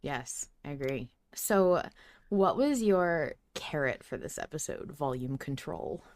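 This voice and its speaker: A woman's voice: sits low, animated, a little slow. A woman co-hosting a podcast in casual fan chat, informal and effusive, with loose, sweary language. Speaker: podcast co-host.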